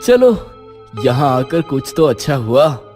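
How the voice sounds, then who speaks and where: An actor playing a carefree, romantic young man in an audio story, youthful and expressive. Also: medium pitch, takes it slowly, faint music behind. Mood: cheerful.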